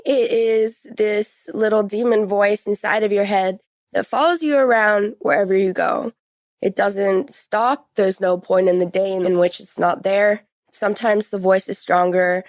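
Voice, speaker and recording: A woman's voice: medium pitch, fairly even intonation, measured pace, dry recording. Teenage woman in a dry recording. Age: late teens. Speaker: podcast guest. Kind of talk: conversational personal account.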